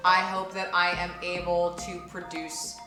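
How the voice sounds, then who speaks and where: A woman's voice: fairly low pitch, level tone, measured pace. A woman giving a casual, reflective interview as a voice actor, talking expressively and emphatically and correcting herself as she goes. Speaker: voice actor in an audio drama. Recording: roomy echo with music behind. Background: music.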